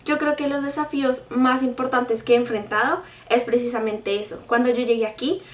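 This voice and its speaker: A young woman talks to her viewers in an earnest, explaining way, her voice sitting a bit high. She sounds set back from the mic in a room with a noticeable echo. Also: fairly even intonation, fairly quick, distant mic. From Colombia. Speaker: vlogger. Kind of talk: casual talk to camera.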